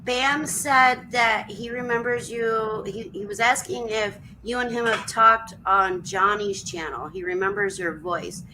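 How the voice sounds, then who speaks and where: A woman's voice: on the high side, steady tone, measured pace, hardly any pauses, sharp tone, slight background noise, rough recording. A woman reading chat comments aloud during a livestream.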